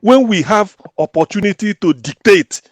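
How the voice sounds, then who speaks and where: A man's voice: high voice, lively intonation, moderate pace, no room sound. A man arguing emphatically on a panel, often stumbling and restarting his words. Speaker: panel guest. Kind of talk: heated panel discussion.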